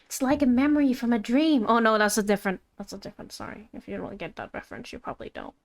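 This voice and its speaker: Woman, high-pitched voice, casual game streamer, playful, thinking aloud. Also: animated, quick pace, vocal fry. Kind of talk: casual livestream gameplay commentary.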